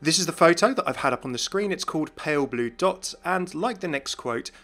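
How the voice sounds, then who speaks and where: A man's voice: on the high side, animated, a little fast, a bit bright. A man hosting a gaming news video in scripted, explanatory voice-over narration. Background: music.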